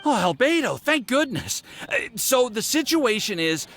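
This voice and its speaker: A man's voice: very high voice, very animated, moderate pace, fairly bright tone, faint music behind, heavily acted. A man voicing a nervous, fussy young alchemist apprentice in a video game, hesitant and sighing.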